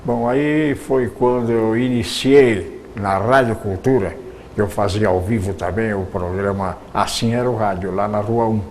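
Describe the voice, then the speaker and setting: A man's voice: lowish voice, fairly even intonation, unhurried, a little mellow, a little hiss or hum. An older man, recalling his years in radio drama in an interview, often stumbling and repeating words. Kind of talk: reminiscing interview answers.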